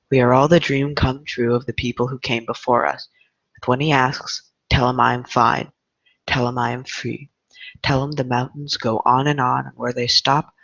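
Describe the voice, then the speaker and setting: A tender, earnest boy recites a poem , acted by a man. The delivery is flat and even at a middle pitch, on a dry, dull recording. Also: dry recording.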